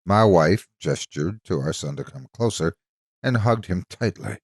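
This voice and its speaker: A man with a low, deep voice narrates like a seasoned storyteller. His intonation moves widely and dramatically over measured, scripted phrases. The recording is dry and close, with very little room sound. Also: animated, husky, no echo. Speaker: story narrator and channel host.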